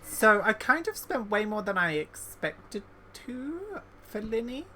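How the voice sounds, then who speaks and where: A woman's voice: a little high, big pitch swings, measured pace, pushed and bright, a little hiss or hum. A woman streaming a video game with casual, chatty, slangy commentary, reacting aloud as she plays.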